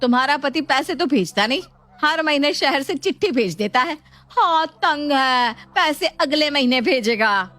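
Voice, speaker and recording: A woman's voice: sits high, animated, unhurried, sharp tone, exaggerated voice. A woman playing a domineering, spiteful sister-in-law with a taunting, haughty manner in an acted story. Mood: taunting, angry.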